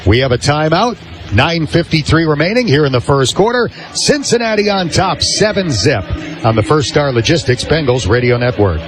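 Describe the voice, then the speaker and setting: A man's voice: on the high side, expressive, normal speed, over music. An American man calling live football play-by-play on the radio in clipped, descriptive phrases.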